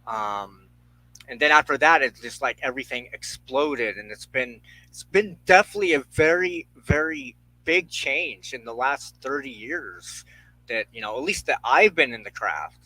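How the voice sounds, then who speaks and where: A middle-aged man recalling personal history in casual conversation, halting with thoughtful pauses. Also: mid-range voice, expressive, bright, forceful tone, poor audio.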